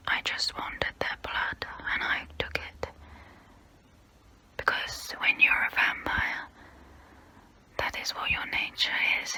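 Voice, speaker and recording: A woman playing a centuries-old female vampire, guilt-ridden and gentle yet predatory, in an intimate, breathy, halting ASMR role-play whisper. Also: mid-range voice, heavily acted, poor audio. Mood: brooding, confessional. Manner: slow, halting, intimate, soothing. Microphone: close-up microphone.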